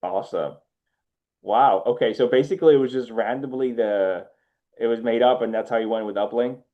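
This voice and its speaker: A man's voice: medium pitch, fairly quick, highs cut off. A man hosting a business show in a conversational interview style. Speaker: podcast host.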